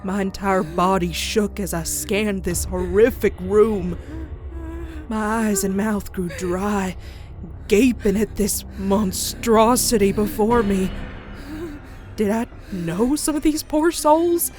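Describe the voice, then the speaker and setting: A woman's voice: medium pitch, soft background music, close to an everyday voice. A woman voicing a frightened pony who narrates a horror comic dub in a suspenseful, dramatic way. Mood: panicked horror. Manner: slow, suspenseful, dropping into hushed breathy whispers. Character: terrified pony narrating a horror tale, dread-filled.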